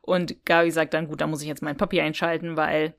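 Woman with a lowish voice, podcast co-host, just chatting and explaining stuff. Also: level tone, quick pace, creaky. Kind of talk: casual chat, recapping and explaining an audio drama episode.

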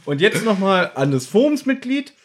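A man's voice: sits high, sing-song, normal speed. A man co-hosting a casual podcast chat about audio dramas, with a teasing, needling manner. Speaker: podcast co-host.

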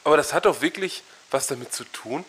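A man chats in a mock-serious manner. His voice is bright and slightly high, with a very lively melody. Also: a little fast, breathy edge. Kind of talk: casual banter.

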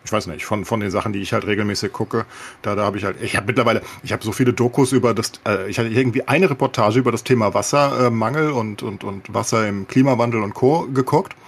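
A man's voice: fairly low pitch, fast talker, gravelly, a little hiss or hum. A man chatting casually on a podcast in colloquial language, with sardonic understatement. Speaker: podcast host.

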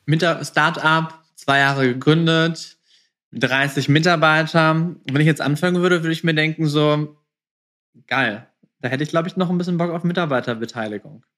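A man's voice: fairly high pitch, moderate pace, a bit bright. A man hosting a casual podcast interview, speaking informally and mixing English business jargon into his sentences.

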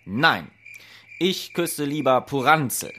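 An easygoing fairy-tale hairdresser, slow and casual. His mid-pitched voice moves up and down in a lively way, in a children's radio play. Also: slight background noise. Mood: firm.

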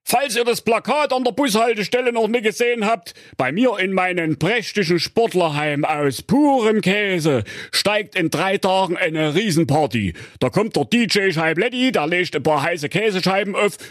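A man's voice: sits high, expressive, normal speed, dry recording, clean studio sound, pitched above the performer's own voice. A man voicing a vain young prince on horseback, boastful and showy, in a raised, brassy voice.

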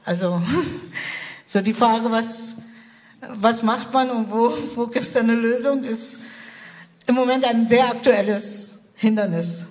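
A woman's voice: on the high side, slow, pauses often, a little mellow, in a large hall. A German woman, a missionary, answering interview questions in a hesitant way, with many fillers and restarts. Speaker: missionary guest. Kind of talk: interview conversation.

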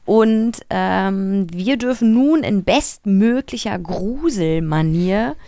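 A woman's voice: mid-range voice, expressive, slow, creaky, mellow, dry recording, studio quality. A woman hosting a podcast, chatting casually in a chummy, slangy way with English exclamations mixed in. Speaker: podcast host. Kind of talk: casual chat.

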